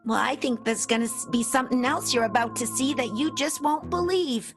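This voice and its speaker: A woman's voice: fairly high pitch, a little fast, over music, lightly acted. A woman playing a naive, scheming apprentice werewolf with rustic, folksy speech in an improvised radio play. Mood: sly, menacing.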